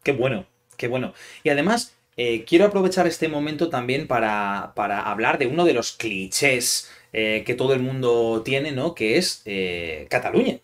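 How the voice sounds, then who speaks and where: A young man from hosts a relaxed chat. His voice has an ordinary, mid male pitch. He asks questions in a friendly manner, often pausing on fillers as he thinks. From Spain. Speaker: podcast host, Spanish teacher. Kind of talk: casual interview chat.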